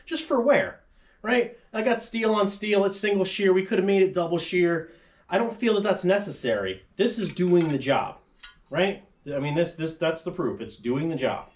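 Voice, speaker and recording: A man in his forties, a fabrication channel host, casually explaining a metal shop tool in his home garage, rambling with frequent asides. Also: sits high. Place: cluttered home garage workshop with tarp walls.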